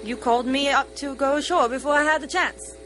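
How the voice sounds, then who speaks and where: A woman playing an excitable, easily frightened ship's crew member in an amateur radio drama, theatrical and urgent. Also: high-pitched, brisk, music in the background, close to an everyday voice. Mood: curious, uneasy.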